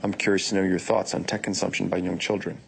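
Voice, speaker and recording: Low, gravelly man reading questions briskly. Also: level tone, slight background noise, rough recording. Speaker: audiobook reader of listener questions.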